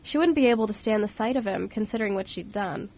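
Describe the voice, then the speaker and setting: A woman's voice: mid-range voice, lively intonation, fast talker, darkish tone. A woman reading scripted story narration as narrator of an amateur radio play. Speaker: radio show co-host and narrator.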